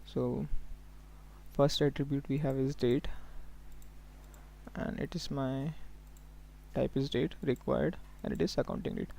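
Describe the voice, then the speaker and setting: A man working as a software trainer, giving a step-by-step live tutorial in an instructional way, often prompting listeners to follow along. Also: mid-range voice, fairly even intonation, a little slow, creaky, pauses often. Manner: instructional, often checking in with okay and look here.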